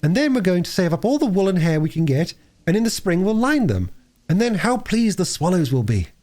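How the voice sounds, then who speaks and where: A man voicing a stubborn, excitable young girl in a storybook reading, quick to argue. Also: high voice, pitched above the performer's own voice. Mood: eager.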